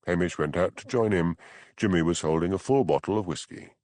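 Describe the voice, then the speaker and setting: A man narrating a mystery novel as an audiobook, with measured, expressive storytelling. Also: low-pitched, dry recording.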